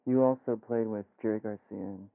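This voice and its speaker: Man, American radio interviewer, creaky voice, monotone, low-key and conversational, thin phone-like vintage broadcast sound. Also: a little fast, no room sound. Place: radio studio.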